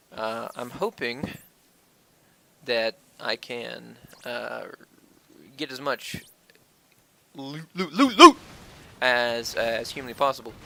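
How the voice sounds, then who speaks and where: A man giving casual, rambling Let's Play commentary, crude and profane with self-deprecating jokes and halting delivery, on a cheap microphone. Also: medium pitch, vocal fry, sharp tone. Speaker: gaming video host. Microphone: worn-out stand-by microphone. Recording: cheap mic with wonky audio.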